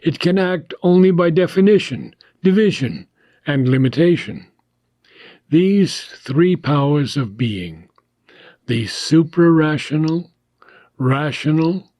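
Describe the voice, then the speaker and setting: A man reading a philosophical text aloud as an audiobook, in a deliberate, measured manner with short phrases and frequent pauses. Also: on the high side, a little mellow, no room sound. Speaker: audiobook reader.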